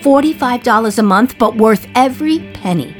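A woman's voice: on the high side, lively intonation, normal speed, soft background music, close to an everyday voice. An actress playing a sardonic, resentful jailed woman in her thirties from 1950s New York, confiding her memories in a radio play monologue. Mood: bitter, wry.

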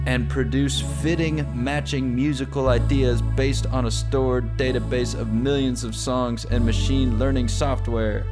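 A man playing a grandiose, excitable inventor in a radio drama, declaiming in a pompous, speechmaking way. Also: medium pitch, natural voice. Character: grandiose, excitable male inventor of a music-making machine. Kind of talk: scripted radio drama acting.

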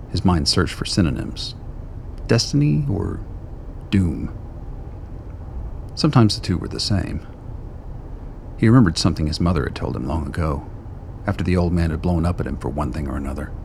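Very deep, gravelly male narrator. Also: animated, normal speed, pauses often, darkish tone, a little hiss or hum. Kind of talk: scripted narration of a radio drama.

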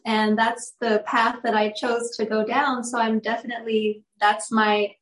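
A woman's voice: a little high, level tone, a little slow. Upbeat young woman in an echoey room. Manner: upbeat, laughs easily, storytelling. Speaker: guest, actress and model. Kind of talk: casual interview chat. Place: room with audible airplane noise outside.

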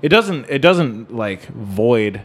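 A man's voice: mid-range voice, big pitch swings, takes it slowly, breathy edge. A man in a casual music discussion, talking in a rambling way and often checking for agreement with "right?". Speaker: podcast co-host.

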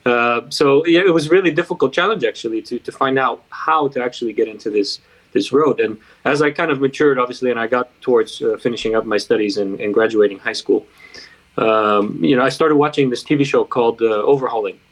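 A man's voice: mid-range voice, brisk, rough recording. A man from Bulgaria who later lived in the United States, talking informally and reflectively as an interview guest. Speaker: car designer, interview guest. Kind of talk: casual interview storytelling.

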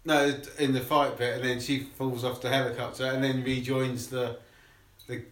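A man's voice: mid-range voice, level tone, moderate pace, a bit bright. Rambling man, echoey room. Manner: rambling, acts out movie moments in silly voices. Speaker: podcast co-host. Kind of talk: casual chat.

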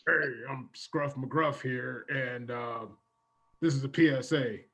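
A performer voicing a gruff cartoon crime dog mascot in a slow public-service announcement manner. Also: mid-range voice, a bit bright, heavily acted. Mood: gruff, warning. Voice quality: gruff, husky.

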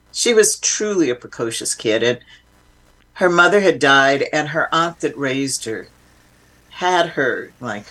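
A woman's voice: low-pitched, fairly bright tone. A woman speaking as an interview guest, explaining informally and with emphasis in a reflective, conversational way.

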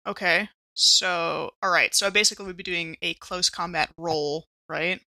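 A woman's voice: lowish voice, steady tone, moderate pace, sharp tone. A woman talking casually as a player in a tabletop roleplaying game.

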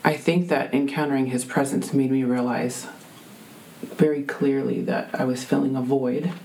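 A woman with a deep voice, telling a heartfelt faith testimony as a guest, full of ums and restarts. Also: steady tone, normal speed, mellow, a little hiss or hum, low-quality recording. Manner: earnest, many fillers and restarts.

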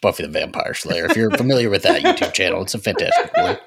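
Husky, low-voiced, animated man chatting. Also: quick pace. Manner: playful, exaggerated, repeats phrases for emphasis. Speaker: podcast co-host. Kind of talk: casual pop-culture discussion.

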